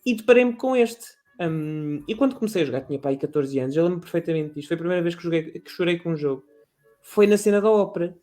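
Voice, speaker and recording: A man with a European Portuguese accent talking casually about video games, animated and rambling, full of restarts and fillers. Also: a little high, quick pace, faint music behind. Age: adult. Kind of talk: casual gaming chat.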